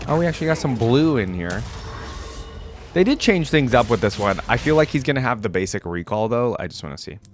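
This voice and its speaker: A man's voice: mid-range voice, big pitch swings, a little fast, faint music behind. A man hosting a reaction video, giving informal commentary to viewers and thinking aloud as he goes.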